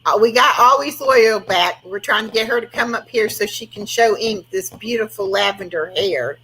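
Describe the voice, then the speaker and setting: A woman in her sixties hosting a casual online chat, chatty and quick to laugh, often trailing off mid-sentence. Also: medium pitch, a bit bright, slight background noise, rough recording. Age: 60s. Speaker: host of an online chat room.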